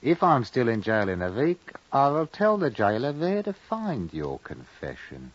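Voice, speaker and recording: An actor playing a shrewd, blunt baker who drives a bargain in a dry, matter-of-fact way, in old-time radio drama style. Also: male voice, mid-range voice, huge pitch swings, a little slow, dry recording. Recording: muffled vintage radio recording.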